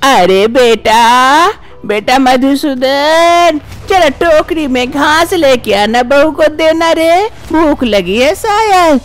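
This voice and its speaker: एक महिला द्वारा निभाई गई एक बुज़ुर्ग, चिड़चिड़ी और फ़िक्रमंद सास, जो बार-बार डाँटती और हैरानी जताती है। उसकी आवाज़ ऊँची है और सुर खूब उछलता है। यह एक कार्टून कहानी का किरदार है।. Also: female voice, high-pitched, expressive, slow pace, pitched above the performer's own voice. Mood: fussing. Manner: scolding, exclaiming, fretful. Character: fussy, worried old mother-in-law.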